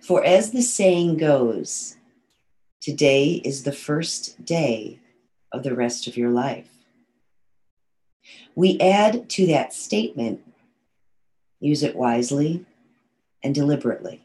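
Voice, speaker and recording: A woman's voice: low-pitched, expressive, mellow. A woman channeling a solemn male spirit teacher. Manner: slow, measured, formal address. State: nasal from a cold.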